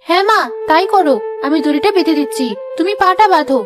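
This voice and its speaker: A woman's voice: extremely high pitch, fast talker, music in the background. A woman voicing an earnest, entreating village woman in a cartoon fairy tale. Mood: eager. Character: pleading village sweet-shop woman and a dutiful young daughter.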